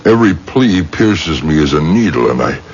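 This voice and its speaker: An actor playing a solemn rabbi exorcist with deliberate, incantatory speech in a 1970s radio drama. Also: male voice, low voice, sing-song, a little mellow. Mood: weary, grave. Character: weary, solemn rabbi exorcist, commanding with spirits. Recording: dull, noisy 1970s radio broadcast.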